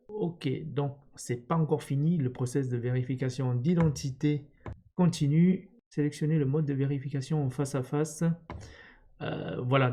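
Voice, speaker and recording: A man with a soft, mellow, relaxed tone talks through an on-screen tutorial step by step. His delivery is unscripted and hesitant, as he thinks aloud while working. His pitch sits in an ordinary middle range for a man. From born in Vietnam. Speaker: tutorial presenter, instructor. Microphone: computer screen-recording voice-over.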